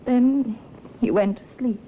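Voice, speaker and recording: An actress playing a composed, scheming young widow in a 1950s radio drama, with halting, theatrical delivery. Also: female voice, a little high. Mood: distressed, confessing. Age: young adult. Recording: tinny, hissy 1950s radio recording.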